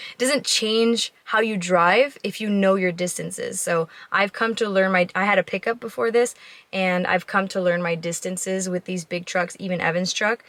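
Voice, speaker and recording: A woman's voice: medium pitch, a bit bright, no echo. A young woman in her twenties gives a casual vlog tour of her SUV, chatty and full of ums and likes, her voice scratchy from a cold. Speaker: vlogger. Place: inside a parked SUV and outdoors on a driveway. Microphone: handheld camera at arm's length.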